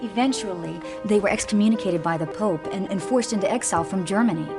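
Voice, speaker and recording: An actress playing a thoughtful, earnest history expert in a video game, explaining in measured, scholarly phrases. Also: female voice, medium pitch, close to an everyday voice. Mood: intrigued. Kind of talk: video game cutscene dialogue. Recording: loud music behind the voice.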